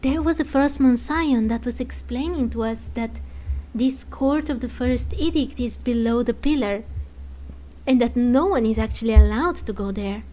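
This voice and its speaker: Woman doing an excitable high-pitched fairy companion. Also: normal speed, close to an everyday voice, rough recording. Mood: flustered. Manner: exclaiming, stammering questions. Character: small excitable fairy companion, female, naive and indignant.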